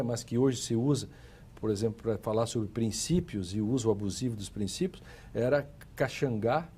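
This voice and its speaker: A man's voice: medium pitch, mellow, a little hiss or hum. A man with a Brazilian accent hosting a panel discussion in a conversational style. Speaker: programme host.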